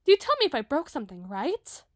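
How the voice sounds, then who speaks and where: A woman's voice: high-pitched, huge pitch swings, no room sound, studio quality. A woman voicing a dramatic, sweet young giantess model in an audio roleplay, with an emotive, chatty delivery. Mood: flustered, apologetic. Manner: emotive, chatty, halting when flustered.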